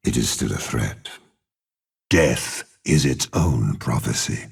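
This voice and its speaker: Very deep, gravelly demonic warlord. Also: male voice, expressive, exaggerated voice. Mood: grim, commanding. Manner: slow, measured, menacing, haughty. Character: ruthless, imperious older male warlord bound to a demon. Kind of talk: dramatic video game character voice lines.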